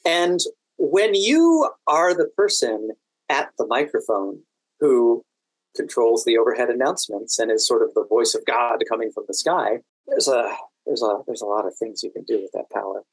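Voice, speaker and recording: An American man speaking as a podcast guest, telling stories in a halting, deliberate way with dry amusement. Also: mid-range voice, lively intonation, slightly rough, no room sound, rough recording. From Washington DC, United States. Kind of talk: anecdotal interview conversation.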